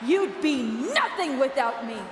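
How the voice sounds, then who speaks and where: A woman's voice: high-pitched, big pitch swings, a little slow. A woman playing a bitter, jealous wrestling promoter in a video game, delivering a sarcastic, menacing speech over an arena PA. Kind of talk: theatrical in-ring promo speech. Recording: echoey arena PA with crowd noise.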